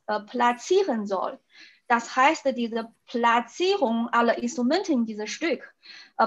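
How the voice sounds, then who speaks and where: Slow, careful woman with a Chinese accent. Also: a little high. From China. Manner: careful, halting, frequent hesitations. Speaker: composer. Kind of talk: introducing her piece on a video call. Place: small plain room, indoors. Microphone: laptop webcam on video call. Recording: dull lo-fi video call audio.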